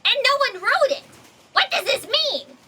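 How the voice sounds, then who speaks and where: A woman's voice: very high-pitched, very animated, unhurried, sharp tone, heavily acted. A woman playing an anxious, eager, chattering alien office fact checker in a comedic sci-fi audio drama. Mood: panicked, existential. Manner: chattering, breathless, overwrought questioning.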